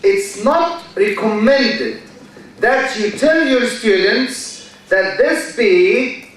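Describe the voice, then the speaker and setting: A man with an Egyptian accent, a native Arabic speaker, gives a university lecture, speaking slowly, repeating key words and quizzing his students. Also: high voice, lively intonation, fairly bright tone. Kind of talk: classroom lecture. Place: large lecture hall. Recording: echoey hall, mic far off.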